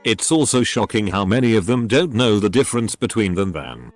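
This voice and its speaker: A man narrating, reading online posts aloud in an even, matter-of-fact way over faint background music. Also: lowish voice, a little fast, dry recording.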